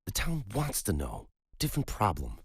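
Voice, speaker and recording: A man voicing a skeptical investigator who answers in a measured, firm way. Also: fairly low pitch, huge pitch swings, slightly rough, no room sound, studio quality, pitched above the performer's own voice, heavily acted.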